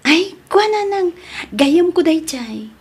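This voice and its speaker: A woman's voice: very high voice, very animated, measured pace, full character voice. A woman playing a coy, evasive young woman with a secret in a radio comedy drama. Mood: flustered, evasive. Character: evasive young woman hiding an affair, teasing then flustered.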